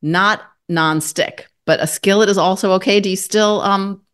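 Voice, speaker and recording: A woman's voice: mid-range voice, fairly even intonation, normal speed, a bit bright, smooth. A woman hosting an interview, asking questions and praising her guest with enthusiasm. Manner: enthusiastic, effusive. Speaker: host. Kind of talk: interview podcast chat.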